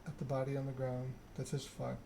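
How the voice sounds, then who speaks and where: A man reads a story game aloud in a soft ASMR style. His pitch is middling and stays quite flat, with clear noise behind him. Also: brisk. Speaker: narrator reading a video game aloud.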